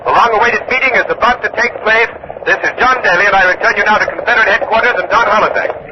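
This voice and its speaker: An actor playing an on-the-scene radio news correspondent, clipped, urgent and polite, in old live-news style. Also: male voice, high voice, quick pace, lightly acted. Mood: excited. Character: urgent on-the-scene male radio reporter at a battlefield. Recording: muffled, noisy old radio recording.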